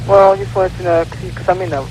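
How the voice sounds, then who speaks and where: Higher-voiced young American man calling in. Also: level tone, fast, a little mellow, faint music behind. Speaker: phone-in caller. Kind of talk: casual, hesitant chat. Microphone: telephone. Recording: phone call on noisy radio broadcast.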